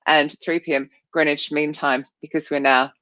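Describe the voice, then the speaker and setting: A woman with an Australian accent, co-hosting a casual chat show, jokey and quick to laugh. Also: deep voice, dry recording, lo-fi sound. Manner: lively, laughs often, jokey. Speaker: co-host.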